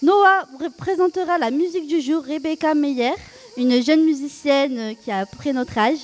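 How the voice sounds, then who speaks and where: Une jeune femme à la voix très haute annonce le déroulé d'une émission de radio. Son débit est vif et son texte est préparé. Sa voix résonne longuement dans la pièce. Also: female voice, sits high, long reverb tail, soft background music. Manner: brisk, scripted announcing. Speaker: co-host. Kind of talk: reading a show rundown.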